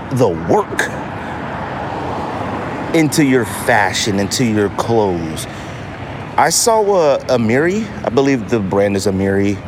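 Casting: American male podcast host, very unhurried delivery, casual and emphatic, recorded over loud urban street noise. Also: lowish voice. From United States. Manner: emphatic repetition of phrases. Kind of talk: casual solo podcast monologue. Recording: noisy outdoor city street ambience. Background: street noise, traffic, banging.

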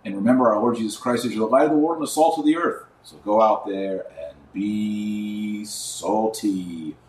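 A man's voice: lowish voice, moderate pace, darkish tone. A man hosting a religious podcast, giving earnest, emphatic preachy commentary with repeated phrases for rhetorical effect. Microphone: wrong microphone picking up from a distance. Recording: echoey room, distant wrong microphone, faint noise.

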